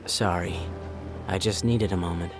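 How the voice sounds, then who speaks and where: An actor playing a weary, brooding oil rig worker in a survival horror audio drama, in a subdued, melancholic way. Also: male voice, sits low, fairly even intonation, normal speed, lightly acted. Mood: subdued, troubled. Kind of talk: audio drama acting with first-person narration. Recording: music under the voice.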